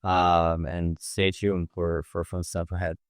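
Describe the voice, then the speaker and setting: A man giving informal interview answers as a company representative, in a hesitant, measured way. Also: low voice, little pitch movement, clean tone, no room sound, clean studio sound.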